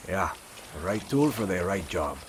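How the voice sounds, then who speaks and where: Snarky young rebel game hero, low voice, frank and defiant, clear background noise. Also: male voice, sing-song, moderate pace. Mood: exasperated. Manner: sardonic, frank. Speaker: video game protagonist. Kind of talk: video game voice acting. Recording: noticeable ambient noise behind.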